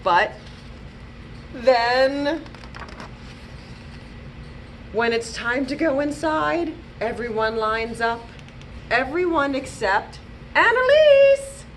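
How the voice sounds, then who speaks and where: A woman storytime reader with a high, very lively voice. She goes slowly and deliberately, stressing words for small children, with slight noise in the recording. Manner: deliberate, expressive, emphasizing words for young children. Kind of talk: read-aloud children's storytelling.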